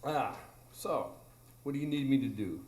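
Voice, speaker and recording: A man's voice: mid-range voice, animated, normal speed, a little mellow, close to an everyday voice. A man playing a laid-back, skeptical steamboat captain, hesitant and wry, in a live radio drama. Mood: wry, bemused. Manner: hesitant, wry, drawling interjections. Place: small improv theatre stage. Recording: roomy live stage recording.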